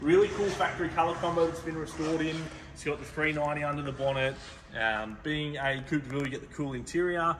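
A man hosting a casual car showroom walkthrough, talking enthusiastically with relaxed fillers. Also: on the high side, quick pace. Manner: laid-back, enthusiastic car talk with fillers. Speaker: car dealer host. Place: large indoor car showroom. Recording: roomy reverb, mic far off, background noise.

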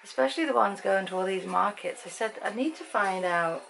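A woman in her fifties from Britain with an English accent, chatting to a handheld camera in a dim room at night. Her voice is croaky, and she rambles and laughs often. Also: mid-range voice, animated, a little fast, fairly bright tone, slight background noise, poor audio. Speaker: vlogger. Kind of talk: casual chat to camera. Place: bedroom at night, dim lamp light. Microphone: handheld camera at arm's length.

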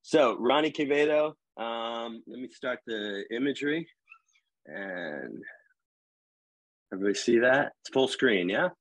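A man's voice: mid-range voice, expressive, unhurried, no room sound, rough recording. A middle-aged man hosting a live video call conversation, rambling with many fillers and restarts, wearing earbuds. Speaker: host, editor-at-large. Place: home room with wood panel wall.

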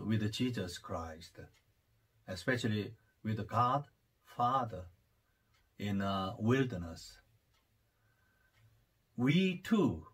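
Slow, husky Korean-accented priest. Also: male voice, medium pitch. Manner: unhurried, many hesitations, rising fervor. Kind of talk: homily to camera. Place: study with bookshelves, indoors. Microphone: camera at desk distance.

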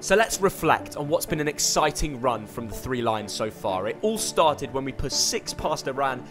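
Man, scripted sports vlog narration, energetic, music underneath. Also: medium pitch, normal speed. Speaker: football vlogger. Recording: music bed behind voice.

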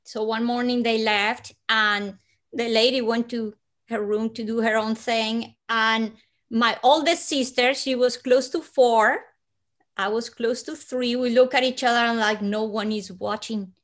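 A woman in her forties from Colombia with a Colombian Spanish accent, telling a personal story as an interview guest over a video call, slow and hushed with soft breathy dips and sudden lifts. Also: fairly high pitch, fairly even intonation. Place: living room at home.

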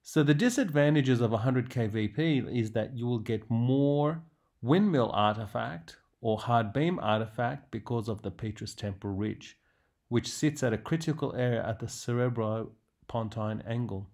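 A man's voice: medium pitch, normal speed, darkish tone. A man lecturing on radiology in a didactic way, stressing key terms as he narrates prepared slides.

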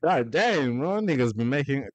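A man's voice: mid-range voice, animated, moderate pace. A young man with an Australian accent, chatting loosely to viewers as he reacts to a video, with slangy, laid-back banter. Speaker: reaction streamer. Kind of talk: casual reaction commentary. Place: small indoor room. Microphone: handheld mic with headset.

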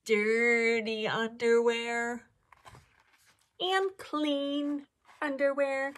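A woman in her thirties, a teacher reading a picture book aloud to children with animated, exaggerated delivery and drawn-out words. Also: on the high side, slight background noise. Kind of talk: expressive children's storybook read-aloud. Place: small indoor room with classroom displays.

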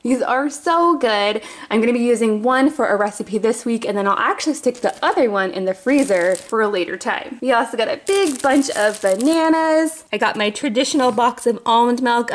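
Woman, thirties, slightly high-pitched, upbeat and chatty, home vlog, camera mic. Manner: upbeat, chatty, addresses viewers as friends. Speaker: family vlogger. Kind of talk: casual grocery haul chat. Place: home, indoors. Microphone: camera mic, off-screen speaker filming products.

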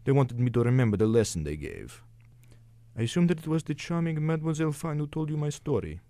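Guy doing an old polite exiled intellectual, radio drama, formal n hesitant, soft mellow tone, pitch all over the place. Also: big pitch swings, fast talker, no echo. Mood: courteous, bitter. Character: courteous, nervous, exiled Romanian male intellectual, older.

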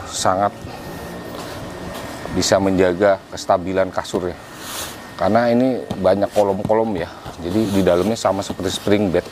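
A man in his forties casually reviewing a camping air mattress for viewers, relaxed and chatty, recorded indoors at home on a camera mic a few meters away. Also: on the low side, background noise. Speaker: product reviewer.

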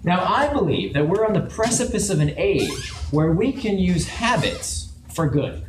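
A man's voice: high-pitched, very animated, a little slow. A man giving a conversational talk to a live audience in a large open event space, asking the crowd questions as he goes. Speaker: guest speaker. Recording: echoey room with background noise.

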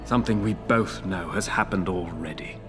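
An actor playing an aging, crippled visionary inventor in a video game, eloquent and theatrical, grandiose, bitter and anguished. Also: male voice, deep voice, natural voice. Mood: grave resolve. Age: older. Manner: eloquent, theatrical, deliberate oratory. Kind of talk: video game cutscene acting. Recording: roomy game audio with faint music.

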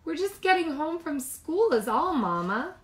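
A woman voicing a young bear cub from a storybook, childlike, excitable and prone to worry. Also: high voice, a little slow, voice pushed up. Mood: casual, pleased. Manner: childlike, questioning, exaggerated storybook delivery.

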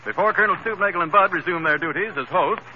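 A man working as a 1930s radio announcer, reading commercials with a smooth, earnest delivery. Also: sits high, quick pace. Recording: dull old radio broadcast with faint hiss.

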